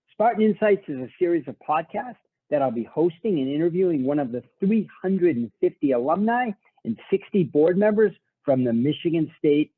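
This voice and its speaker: A man's voice: a little high, husky, no room sound. A man with a Boston accent hosting a podcast interview, asking questions in a chummy, casual way. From Boston, Massachusetts. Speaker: podcast host. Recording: tinny phone-like line.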